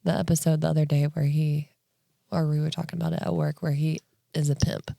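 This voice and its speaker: A woman's voice: deep voice, little pitch movement, a little fast, creaky, warm tone, dry recording, clean studio sound. A woman co-hosting a podcast in a casual comedic chat, blunt and teasing with crude humor. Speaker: podcast co-host.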